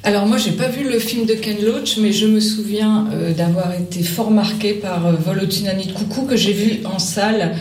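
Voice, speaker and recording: A woman's voice: medium pitch, moderate pace, hardly any pauses, a little mellow. A woman author answering interview questions, speaking hesitantly with many fillers. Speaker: author guest. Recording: roomy and distant with faint noise.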